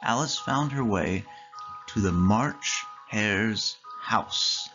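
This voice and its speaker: A storyteller reading a scripted children's story aloud in measured phrases with deliberate pauses. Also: medium pitch, animated, sharp tone, muffled recording. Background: clear background music.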